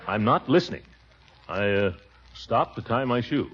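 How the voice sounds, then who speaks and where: An actor playing a blustery, pompous middle-aged uncle, booming and hearty with chuckling laughs, in 1940s radio comedy. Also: male voice, expressive, normal speed, fairly bright tone, close to an everyday voice. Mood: bossy. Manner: hearty and bossy, stretches out his words. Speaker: head of the household. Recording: dull 1940s radio broadcast.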